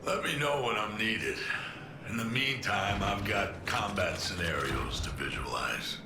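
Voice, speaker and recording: An actor playing a calm, disciplined older military mercenary in a video game, speaking in a measured, matter-of-fact way. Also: male voice, on the low side, roomy sound, background noise. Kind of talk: video game character dialogue.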